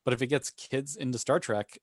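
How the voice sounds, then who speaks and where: Male podcast panelist, average-pitched, informal chat, no room sound at all. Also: fairly even intonation, a little fast, no room sound, clean studio sound. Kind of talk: casual group chat about TV shows.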